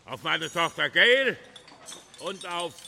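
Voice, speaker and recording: A man's voice: on the high side, expressive, slow pace, creaky, bright, forceful tone, slight background noise, exaggerated voice. An actor playing a blustery, jovial middle-aged father in a German radio drama, declaiming and grumbling.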